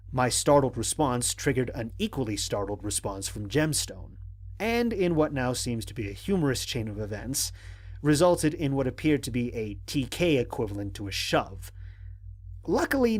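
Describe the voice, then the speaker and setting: A stranded male space explorer played by a man records his mission log for an audio drama. His voice is a little husky and swings widely in pitch. The delivery is formal and analytical, with dry, exasperated humor. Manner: measured, formal, dryly humorous. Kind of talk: scripted first-person mission log narration.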